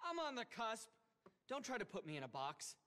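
Pompous self-styled visionary billionaire, thirties, high voice, wildly swinging delivery, indignant, video game cutscene. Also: male voice, wildly swooping pitch, normal speed, sharp tone, full character voice. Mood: smug, scornful. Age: 30s. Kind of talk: animated game cutscene acting.

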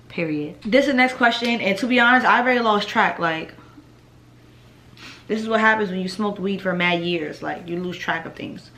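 Blunt, foul-mouthed young woman vlogger. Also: rough recording. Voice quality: deep voice. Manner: blunt, profane, laid-back with laughs. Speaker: vlogger answering viewer questions. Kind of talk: casual Q&A vlog. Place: living room at home, indoors.

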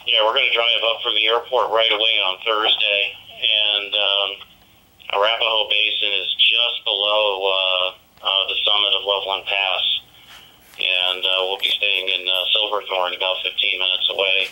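A man's voice: on the low side, little pitch movement, moderate pace, bright, forceful tone, low-quality recording. A man chatting casually as an amateur operator over a digital two-way radio, heard from a handheld's speaker. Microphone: voice played through portable radio speaker.

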